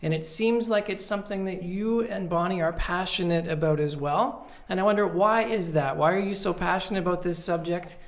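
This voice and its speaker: Low-voiced woman host asking questions. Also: fairly quick, few pauses. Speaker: church podcast host. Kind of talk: interview questions.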